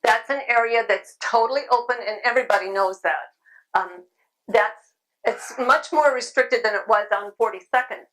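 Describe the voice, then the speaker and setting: A middle-aged woman with a slightly high voice talks casually to a convention audience. She is chatty and loud, often laughing between points. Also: normal speed, poor audio. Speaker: convention speaker on sidewalk counseling. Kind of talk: informal talk with audience questions. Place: convention room.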